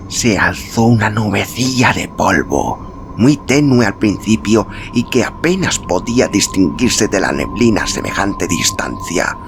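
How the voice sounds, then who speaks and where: A man narrating a literary story as an audiobook, in a measured, dramatic, expressive storytelling manner. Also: sits low, gravelly, a little hiss or hum. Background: music.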